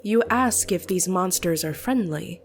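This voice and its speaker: A woman narrating a scripted story in a measured, matter-of-fact way. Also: lowish voice, lively intonation, faint music behind.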